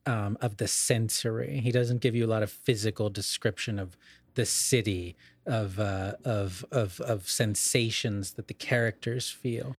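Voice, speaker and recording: A man's voice: on the low side, fairly even intonation, measured pace, husky, dry recording. A man co-hosting a podcast, talking casually about literature in a discursive, scholarly way and often correcting himself as he goes. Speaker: podcast co-host.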